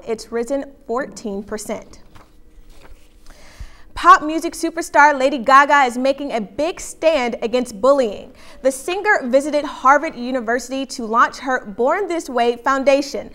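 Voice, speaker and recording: Woman news anchor, high bright voice, crisp scripted read. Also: unhurried.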